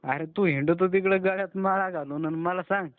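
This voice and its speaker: A man's voice: high voice, steady tone, a little fast, no echo. A young man, chatting colloquially and a bit grumblingly with a friend on a phone call. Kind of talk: casual phone chat with a friend. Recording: narrow, tinny phone call recording.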